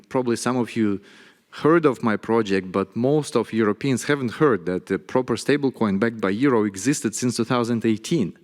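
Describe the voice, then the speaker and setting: A man speaking on a conference panel about his work, earnest, with stumbling restarts. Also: medium pitch. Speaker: panelist, stablecoin issuer. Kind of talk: panel discussion. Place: conference hall stage. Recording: long reverb of a conference hall.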